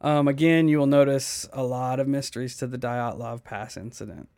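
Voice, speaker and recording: A man's voice: mid-range voice, normal speed, a little mellow, dry recording, studio quality. A man hosting a podcast, casually telling a mystery story, swearing often and stumbling over names. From United States. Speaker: podcast host.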